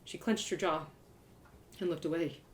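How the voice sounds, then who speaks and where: A woman's voice: fairly low pitch, moderate pace, slight background noise. A woman storyteller giving a dramatic, theatrical first-person narration of a story. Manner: expressive, theatrical. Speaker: storyteller reading own series live.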